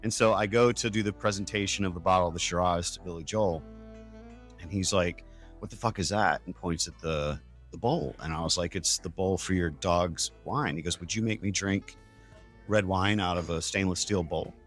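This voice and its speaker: Lowish-voiced man, wry casual storyteller. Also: moderate pace, a bit bright, faint music behind. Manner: wry, acts out quoted dialogue.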